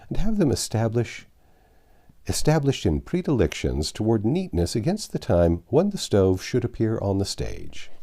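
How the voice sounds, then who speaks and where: Expressive male narrator with creaky, mellow voice. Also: fairly low pitch. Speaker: audiobook narrator. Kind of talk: expressive literary reading aloud.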